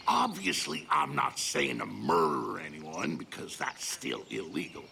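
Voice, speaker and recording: An actor playing a shameless, scheming politician in a video game, with a crude, sly way of rambling. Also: male voice, on the low side, very animated, a little slow, gravelly, pushed and bright, a little hiss or hum, full character voice. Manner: folksy, crude, sly rambling with heavy hints. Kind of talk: video game cutscene acting.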